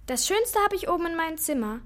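Cheeky preteen girl in a kids radio play, high voice bouncing all over. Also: big pitch swings, fast talker. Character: lively eleven-year-old daughter, frank and cheeky. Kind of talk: German children's radio play acting.

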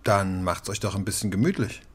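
A man's voice: low voice, a little fast, breathy edge. An actor playing a schoolboy's laid-back father with dry, casual humour in a children's radio play. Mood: casual welcoming.

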